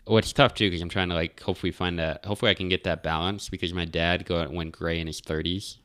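A man's voice: low-pitched, fairly even intonation, quick pace, a bit bright, dry recording, clean studio sound. A man in a casual podcast chat, talking in a slangy, informal way with plenty of swearing.

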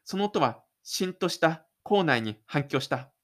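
A man's voice: a little high, expressive, unhurried, fairly bright tone. A man reading scripted third-person narration for an audio drama in a dramatic storytelling manner. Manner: dramatic storytelling with spoken sound effects.

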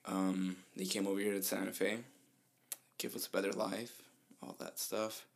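A young man from New Mexico with a slight Mexican Spanish accent, co-hosting a casual podcast in a laid-back, soft-spoken way. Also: low-pitched, little pitch movement, moderate pace, gravelly. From New Mexico, USA. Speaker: podcast co-host. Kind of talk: casual chat.

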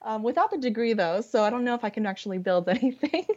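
A young woman with a Californian accent, a guest chatting casually, friendly and unhurried, with many fillers. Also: on the high side, expressive, a little mellow. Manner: friendly, fillers and laughs, deliberate. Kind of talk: casual conversation. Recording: dull lo-fi sound.

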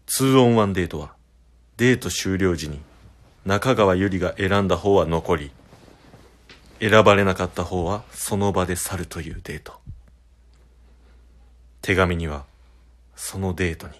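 A man reading scripted narration for an amateur dating-show radio drama. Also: low voice.

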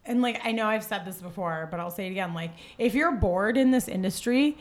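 A woman with a raspy voice, a hairdresser talking as a podcast guest in a blunt, jokey, casual way. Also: medium pitch. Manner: blunt, jokey, quick to laugh. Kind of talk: casual chat.

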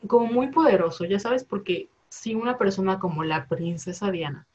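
A young Mexican woman in her twenties talks in a loose, rambling way, stacking up fillers and slang. Her voice sits at a middling pitch, and the recording sounds a little dull and lo-fi. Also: normal speed, a little mellow. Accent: Mexican Spanish. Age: 20s. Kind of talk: casual chat.

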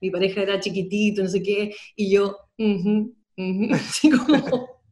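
A woman's voice: medium pitch, fairly even intonation, normal speed, a little mellow. A woman in her thirties with a Chilean Spanish accent, an actress telling her story as an interview guest with lots of fillers and restarts. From Sweden. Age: 30s. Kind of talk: casual interview.

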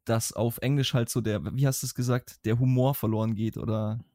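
Young man, Swabian accent, podcast co-host, rambling casual chat, medium pitch, dry close recording. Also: fairly even intonation, a little fast, warm tone, dry recording. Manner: rambling, restarts sentences, chatty.

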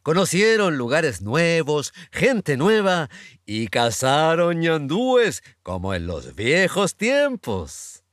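Slow, highly animated male storyteller. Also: no echo, studio quality. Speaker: narrator. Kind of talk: scripted storytelling narration for radio play.

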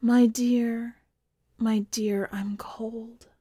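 Woman reading as an eerie, slow-spoken, haunted wife. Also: a little high, little pitch movement, mellow, husky, no room sound, studio quality, heavily acted. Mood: chilly, fond. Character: eerie, lyrical wife, fond and haunted, cryptic.

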